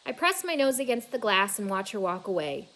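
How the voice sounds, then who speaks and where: A woman reading a children's novel aloud in an expressive, dramatic storytelling manner. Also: medium pitch, a little fast. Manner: dramatic, with emphatic exclamations and hushed asides.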